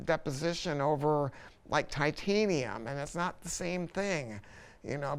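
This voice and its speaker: A man's voice: on the high side, clear, resonant tone. A man talking casually and enthusiastically as he presents a product review, informal and full of opinions.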